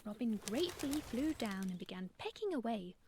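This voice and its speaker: Woman reading a kids storybook tale, voice on the high side. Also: big pitch swings, brisk, a little mellow, a little hiss or hum. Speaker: storyteller and author. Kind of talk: scripted children's story narration.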